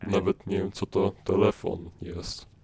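A man playing a sorrowful, weary old skeleton creator in an animated fan dub, speaking solemnly and formally. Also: low-pitched, a little slow, vocal fry, breathy edge, full character voice.

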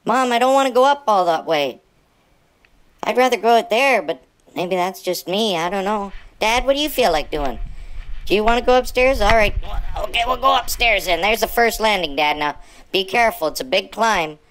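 A woman's voice: mid-range voice, expressive, moderate pace, fairly bright tone, natural voice, poor audio. A woman voicing a cheeky, eager pet pug who rambles as it gives a tour to its owners, in an improvised pet voice-over. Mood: chatty. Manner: rambling, eager, addresses owners as Mom and Dad.

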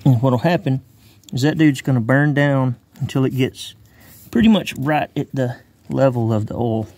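A man's voice: mid-range voice, normal speed, darkish tone. A man talking casually through an outdoor demonstration, rambling as he works with his hands. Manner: rambling, folksy, talks while working with his hands. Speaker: bushcraft video maker. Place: outdoors on the ground near a road. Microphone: phone camera held close. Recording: phone recording outdoors with faint traffic noise. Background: faint road and outdoor noise.

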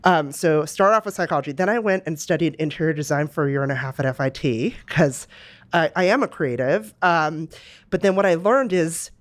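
A woman's voice: low-pitched, a little fast. A middle-aged woman from Romania, answering interview questions in a reflective way with many fillers and restarts. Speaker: guest, music company executive.

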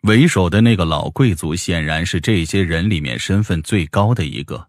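A man's voice: deep voice, animated, moderate pace, no echo, studio quality. A man reading scripted fantasy novel narration as an audiobook narrator.

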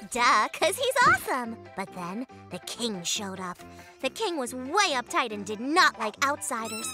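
A very high, swooping voice of a young cartoon animal tells a story over music. It is childlike, excitable and chatty. Playful, slangy asides give it a theatrical flair. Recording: music behind the voice.

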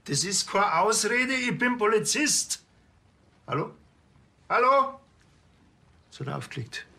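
Creaky deadpan policeman. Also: sits high, takes it slowly, a little hiss or hum, full character voice. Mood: irritated. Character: dry, blunt male rural policeman. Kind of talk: TV crime comedy acting.

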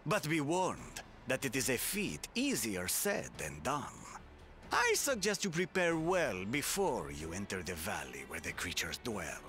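An actor playing a proud, eccentric merchant leader in a video game, speaking in a pompous, theatrical and wordy manner. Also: male voice, mid-range voice, pushed and bright, heavily acted. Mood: formal, warning. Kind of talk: video game cutscene acting.

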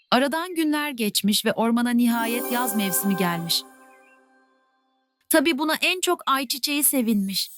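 A woman narrating classic fairy tales for children in a soft, measured way. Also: fairly high pitch, no echo. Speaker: storyteller. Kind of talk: scripted fairy-tale narration.

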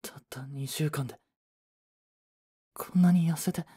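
A man playing a young office worker, a proud but regretful boyfriend, in a romantic voice drama with a soft, breathy delivery. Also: a little high, unhurried. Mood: shocked, anguished. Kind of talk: romantic voice drama acting with introspective narration.

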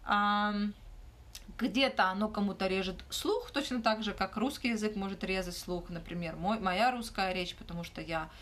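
A young woman hosting a livestream Q&A in a small room, talking casually into her phone and often pausing with fillers. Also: medium pitch, a bit bright. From Ukraine. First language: not Russian. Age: young adult. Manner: hesitant, frequent "uh" fillers and restarts. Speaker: livestream host answering questions. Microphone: phone held close, front camera.

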